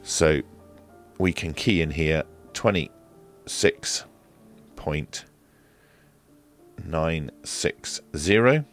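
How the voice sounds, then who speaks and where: A man's voice: extremely low pitch, lively intonation, drawn-out words, pauses often, faint music behind. A man presenting a casual hands-on radio equipment demonstration, explaining step by step in a methodical, hesitant way.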